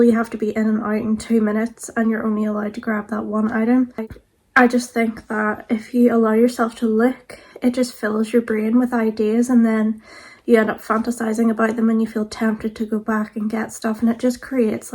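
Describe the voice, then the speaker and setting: A woman in her thirties, a bit croaky from being sick, chatting casually to camera as a vlogger with lots of "like" fillers. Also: fairly high pitch, darkish tone. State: croaky, recovering from illness.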